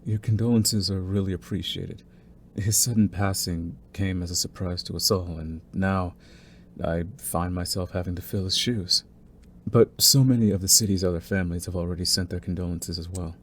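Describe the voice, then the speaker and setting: A man playing a young mafia heir in an intimate audio roleplay, smooth-spoken and deliberate, with a cunning, condescending streak beneath his courtesy. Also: low voice, steady tone, a little hiss or hum. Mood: courteous, probing. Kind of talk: intimate scripted audio roleplay, ASMR acting.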